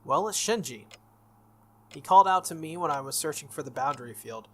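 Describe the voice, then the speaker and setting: A man's voice: fairly high pitch, animated, a little fast, fairly bright tone, slight background noise. A man reading the lines of an earnest, good-natured teenage schoolboy from a visual novel. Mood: earnest, explaining. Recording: music behind the voice.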